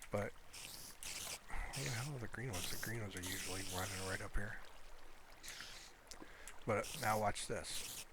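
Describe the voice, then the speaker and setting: A man giving casual, rambling gameplay commentary, explaining things in an unhurried way as he plays. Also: lowish voice, background noise. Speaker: gamer showing a Minecraft build.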